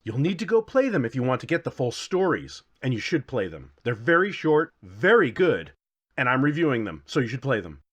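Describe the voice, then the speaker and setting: A man's voice: mid-range voice, wildly swooping pitch, fairly quick, fairly bright tone, slightly rough. A man narrating a scripted comedic review as host, with a theatrical, wry, self-deprecating delivery.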